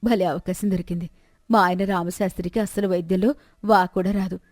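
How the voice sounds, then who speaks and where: A woman storyteller voicing a greedy, scheming village wife with a sharp tongue and a complaining manner. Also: fairly low pitch, fairly even intonation, fairly quick, dry recording, clean studio sound, natural voice. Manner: scornful, self-pitying complaining.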